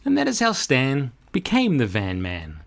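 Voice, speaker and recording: A male storyteller with a medium-pitched voice reads for children. His delivery is slow and very animated, shaping the rhymes with dramatic rhythm. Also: gravelly. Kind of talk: expressive scripted children's read-aloud.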